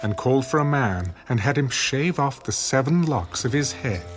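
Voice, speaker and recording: A man's voice: medium pitch, lively intonation, normal speed. A man narrating scripture as a scripted, dramatic audio production. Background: music.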